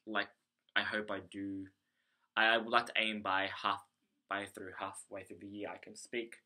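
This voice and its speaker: This is an Australian man with a fairly low voice that has a very bright, forward edge. He talks as if recording a personal video diary, unscripted and hesitant. He tends to ramble and rework his phrases as he goes. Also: little pitch movement, moderate pace. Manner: hesitant, rambling, self-correcting. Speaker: vlogger, English teacher abroad.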